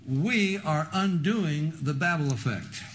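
An American man giving a prophecy sermon as a guest preacher, speaking in short statements and pausing for an interpreter. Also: a little high, expressive, fairly bright tone. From United States. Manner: pauses after each short statement for the interpreter.

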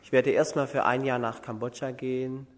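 Man, from germany, youth worker, earnest, halting, church reverb. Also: mid-range voice, level tone. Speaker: youth worker sent as missionary. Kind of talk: self-introduction at a church service. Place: large church. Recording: long church reverb, distant mic.

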